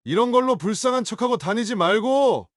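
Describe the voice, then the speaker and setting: An actor playing a hostile, hungover young adult son in an audio drama, sneering and confrontational. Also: male voice, very high-pitched, animated, brisk, no echo, studio quality. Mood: raging.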